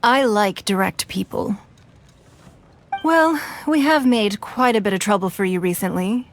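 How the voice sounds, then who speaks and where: An actress playing a poised, wealthy woman leader in a video game, speaking in a measured, gracious way. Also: medium pitch, slight background noise, lightly acted.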